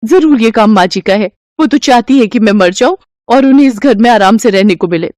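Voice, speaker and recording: A woman's voice: a little high, lively intonation, fairly quick, darkish tone, dry recording, clean studio sound, lightly acted. A woman voicing a spiteful, bossy daughter-in-law who complains sharply in an audio story. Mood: outraged, accusing. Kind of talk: Hindi audio story dialogue.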